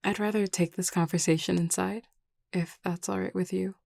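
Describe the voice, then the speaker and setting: Woman playing a hesitant, soft-toned shy vampire woman. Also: on the low side, steady tone, moderate pace, vocal fry, no echo, clean studio sound. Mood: nervous, polite. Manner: hesitant, stammering, polite. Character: shy, awkward, apologetic young vampire leader woman. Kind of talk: scripted audio roleplay acting.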